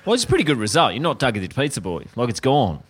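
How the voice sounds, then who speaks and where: A man with an Australian accent co-hosting a live comedy podcast in front of an audience, blunt and sweary with deadpan asides. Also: medium pitch, very fast, husky. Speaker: podcast co-host.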